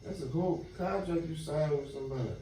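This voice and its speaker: Blunt, profane man with a mellow voice. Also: on the high side, fast talker, a little hiss or hum. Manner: blunt, profane, animated slang. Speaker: podcast co-host. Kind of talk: casual chat. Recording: echoey room, far from the mic, faint music.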